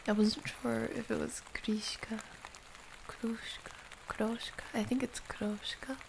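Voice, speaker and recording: A soft-spoken woman chats to her ASMR stream at a very slow, drifting pace. Her voice sits a little high, with a creaky edge. She is playful and affectionate, over faint background noise. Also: level tone. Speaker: ASMR livestream host. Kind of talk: ASMR, casual chat with live viewers.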